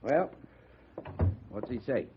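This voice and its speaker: Stubborn rancher on crackly old radio. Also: male voice, medium pitch, sing-song, takes it slowly, a little mellow. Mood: gruff. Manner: gruff, blunt, bossy. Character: stubborn, hard-bitten rancher, fierce and commanding father. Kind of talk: 1950s radio western acting. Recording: noisy, phone-like 1950s radio recording.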